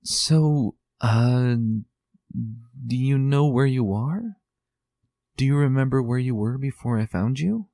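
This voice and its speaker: A man voicing a gentle, kind young female unicorn pony, speaking softly and a little hesitantly. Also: medium pitch, expressive, takes it slowly, no echo, studio quality, pitched above the performer's own voice.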